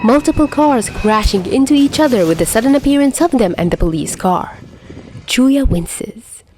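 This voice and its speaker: A woman reading fan fiction aloud as an amateur narrator, in an expressive, dramatic storytelling style. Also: fairly high pitch, moderate pace, slight background noise.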